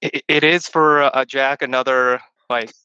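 A man's voice: mid-range voice, fairly even intonation, measured pace, bright, forceful tone, clean tone, no echo, lo-fi sound. A man from Texas, a podcast guest, chatting over a call in a long-winded, rambling way, with Chinese as his first language. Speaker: podcast guest, returned missionary. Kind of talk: casual chat over a call. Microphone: call-in line.

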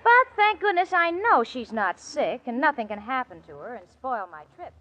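Casting: sensible young woman, high lively voice, wry exasperation, vintage radio comedy. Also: normal speed, full character voice. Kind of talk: 1940s radio comedy acting. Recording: dull old radio recording, music behind.

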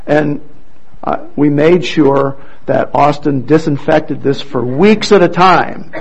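Slow, deliberate man leading a church service. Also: on the high side, vocal fry, husky, low-quality recording. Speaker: church service leader introducing readers. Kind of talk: addressing a congregation, explanatory announcements. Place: church service, over a microphone.

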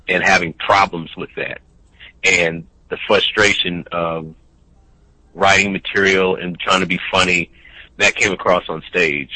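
A man's voice: low voice, sharp tone, no room sound, rough recording. A male comedian in casual chat, talking earnestly and leaning on "you know". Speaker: stand-up comedian.

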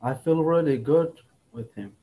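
Young Rohingya man, non-native English, slow halting interview answers, soft mellow voice. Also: on the high side, poor audio. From Myanmar. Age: young adult. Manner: halting, simple English with many restarts.

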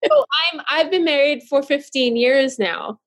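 A bubbly woman hosts a podcast with a high, bright voice. She rambles and giggles through her questions in a loose, casual way. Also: animated, measured pace. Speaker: podcast host. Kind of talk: casual interview chat.

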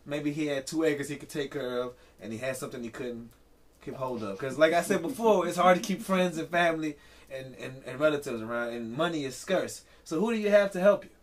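A man's voice: a little high, fairly quick. A man co-hosting a casual podcast, reading a news story aloud and breaking off to joke and laugh. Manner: reads article aloud, breaks off laughing and joking. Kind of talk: casual comedic chat. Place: home studio livestream.

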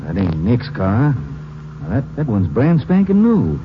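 An actor playing a folksy, stern small-town sheriff in a 1950s radio drama. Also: male voice, medium pitch, huge pitch swings, a little slow. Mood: folksy, wary. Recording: muffled old radio recording with hiss.

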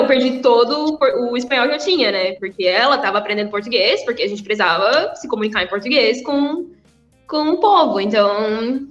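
A woman's voice: a little high, fairly bright tone. A young woman and former missionary chatting casually in an interview, often laughing between phrases. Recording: echoey room, dull sound, faint music.

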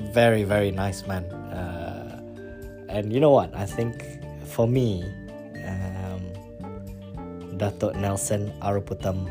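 Deep-voiced male host over guitar music. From Malaysia. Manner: relaxed, chatty, lots of fillers. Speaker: podcast host. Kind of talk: casual spoken intro and interview.

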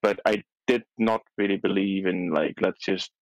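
Low-voiced man, Dutch native, dry sound. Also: steady tone, normal speed, no echo, rough recording. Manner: hesitant, restarts often, soft laughs. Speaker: podcast guest. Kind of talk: casual chat.

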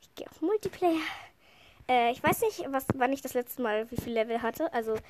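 A woman's voice: high voice, lively intonation, moderate pace, background noise. A woman with a head cold giving casual, rambling commentary while she plays a game, sniffling and chatting with lots of fillers. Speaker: gameplay commentator.

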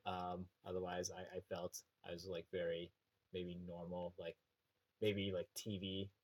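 Low-voiced Canadian man, rambling chat. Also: completely flat delivery, unhurried, darkish tone. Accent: Canadian prairie. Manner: rambling, many fillers and restarts. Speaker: teacher. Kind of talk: casual podcast chat.

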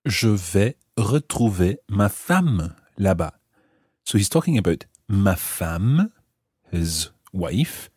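A man hosting a scripted language lesson, explaining clearly and repeating phrases slowly and deliberately. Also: fairly low pitch, sing-song, darkish tone, no room sound, clean studio sound. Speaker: language teacher and host.

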